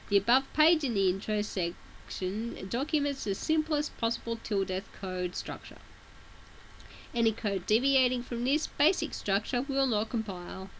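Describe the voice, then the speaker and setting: A woman's voice: fairly high pitch, a little hiss or hum, low-quality recording. A woman with a sore throat reading a webcomic aloud, with casual asides between the read text. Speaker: reader of a webcomic.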